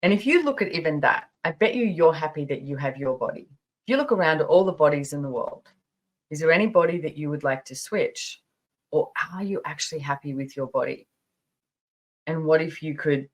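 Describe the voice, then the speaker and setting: A woman in her fifties hosting a podcast, telling personal stories casually in a chatty, emphatic way, repeating phrases for stress. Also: deep voice. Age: 50s. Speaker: podcast host. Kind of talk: casual personal storytelling monologue.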